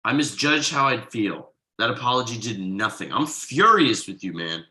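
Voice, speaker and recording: Man acting exasperated young dad, bright and animated. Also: mid-range voice, normal speed. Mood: indignant, angry. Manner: stammering, incredulous, emphatic. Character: exasperated young father, wronged friend and ad copywriter. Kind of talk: conversational comedy table read.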